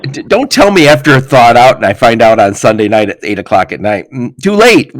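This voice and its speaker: A man hosting a podcast interview, telling stories in a lively way and laughing often. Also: mid-range voice, brisk, no echo. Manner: animated storyteller, laughs often. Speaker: podcast host. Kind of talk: casual interview chat.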